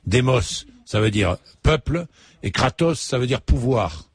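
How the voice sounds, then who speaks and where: Husky-voiced male radio host, lively delivery. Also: medium pitch, unhurried, no room sound. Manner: animated, emphatic, frequent "hein" and hesitations. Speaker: radio talk show host. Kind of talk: talk radio phone-in. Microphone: studio microphone.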